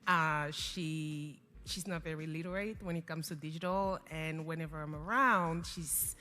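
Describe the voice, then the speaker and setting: A woman's voice: low voice, fairly even intonation, unhurried, bright, forceful tone. A young woman from Cameroon whose first language is French, speaking earnestly in an interview as an organization founder, with frequent restarts. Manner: earnest, reflective, many restarts. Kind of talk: interview conversation.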